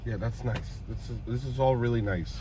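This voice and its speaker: A man's voice: medium pitch, a little fast, crowd noise, natural voice, rough recording. A man playing an anxious, awkward driver stuck at a traffic light, talking in a rambling, nervous, overly earnest way. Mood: impatient. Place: car.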